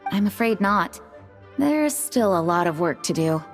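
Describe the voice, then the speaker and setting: A woman's voice: fairly low pitch, lively intonation, unhurried. A woman playing a dutiful, practical knight leader in a video game, composed and businesslike. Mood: dutiful, firm. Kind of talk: video game cutscene acting. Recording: music behind the voice.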